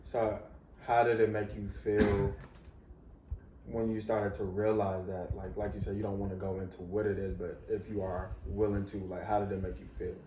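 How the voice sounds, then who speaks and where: Low, level young man host, probing. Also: level tone, moderate pace, mellow. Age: young adult. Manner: probing, encouraging follow-up questions. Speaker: host asking questions. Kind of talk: casual interview. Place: living room, on a couch. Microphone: far camera. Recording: roomy sound from far off, faint hiss.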